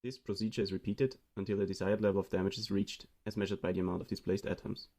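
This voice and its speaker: Young man, from Austria, student presenter, read-aloud explanation, quick delivery, lowish voice. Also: flat delivery, darkish tone. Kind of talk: scripted project presentation.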